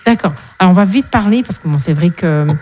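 A woman's voice: lowish voice, animated, fairly quick, a little mellow. Chatty woman host asking questions. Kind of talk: casual radio interview.

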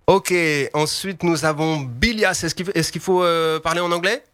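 A man's voice: a little high, moderate pace, a bit bright. A man hosting a studio round-table on radio, polite and hesitant, with frequent fillers. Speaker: radio host.

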